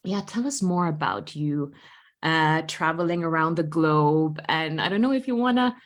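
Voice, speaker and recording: A woman hosting a podcast who asks questions in a warm, enthusiastic, chatty way. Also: low-pitched, normal speed. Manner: enthusiastic, gushing. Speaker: podcast host. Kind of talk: casual interview chat.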